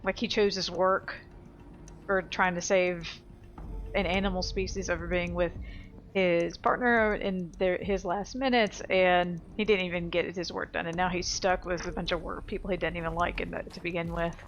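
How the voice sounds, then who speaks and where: A woman's voice: on the low side, fairly even intonation, normal speed, dull audio, slight background noise. A woman giving casual, informal let's play commentary, thinking aloud as she plays. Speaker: let's player.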